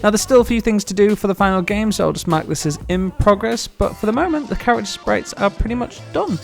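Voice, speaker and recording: A man's voice: high voice, animated, fast talker, creaky, faint music behind. A man narrating a casual devlog, explaining things step by step in an informal, chatty way. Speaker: solo game developer and devlog host.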